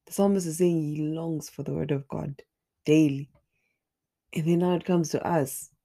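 A woman with a hoarse, raspy voice from a flu, earnestly leading an online Bible study and sometimes repeating words as she searches for the next one. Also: deep voice, fairly even intonation, normal speed, warm tone.

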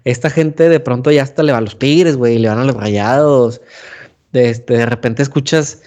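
A man in casual chat, slangy and animated, acting out quoted voices. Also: medium pitch, moderate pace, dull audio. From Monterrey, Mexico.

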